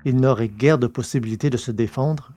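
Measured male story narrator, medium pitch. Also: warm tone, faint music behind. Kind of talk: scripted narration of a science-fiction story.

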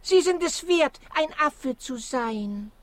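An actress playing an earnest, affectionate jungle monkey in a children's radio play. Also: female voice, high-pitched, animated, slow, no echo.

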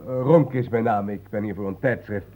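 An actor with a Netherlands Dutch accent playing a grave, courteous magazine reporter in a radio play. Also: male voice, on the low side, fairly quick, very noisy, natural voice. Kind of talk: naturalistic radio drama dialogue.

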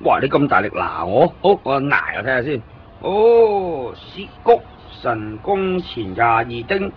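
An actor playing a talkative, excitable young Hong Kong man living in Tokyo who keeps joking and offering to guide a visitor, speaking with a Hong Kong Cantonese accent in a 1990s radio play. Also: mid-range voice, a little slow, a little hiss or hum. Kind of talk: 1990s radio drama acting. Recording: dull lo-fi 1990s radio recording.

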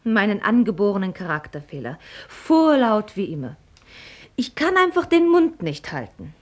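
Radio drama: proud, pert rich daughter, young, articulate and mocking, highly varied melody. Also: female voice, sing-song, normal speed. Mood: ironic, candid. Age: young adult. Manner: articulate, mocking, ornate phrasing. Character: pert, sharp-tongued young heiress, witty and proud. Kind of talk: German radio play acting.

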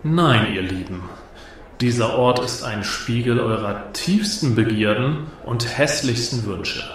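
A man's voice: medium pitch, unhurried, lightly acted. A man in a radio play acting an arrogant cabaret provocateur who mocks his audience with sneering, theatrical delivery. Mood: mocking. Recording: echoey hall with audience crowd.

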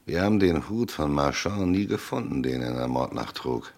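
An actor playing a shrewd, gruff police chief in a German radio crime drama, speaking tersely and deliberately with a gravelly, husky voice. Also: male voice, extremely low pitch, expressive, darkish tone. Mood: pondering, shrewd.

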